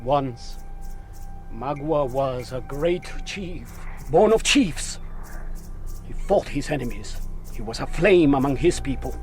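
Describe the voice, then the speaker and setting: An actor playing an embittered, proud Huron warrior chief in a radio drama, speaking in a solemn, declamatory way. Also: male voice, medium pitch, expressive, takes it slowly, a little mellow, soft background music. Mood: solemn, sorrowful. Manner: solemn, declamatory, speaks of himself in third person.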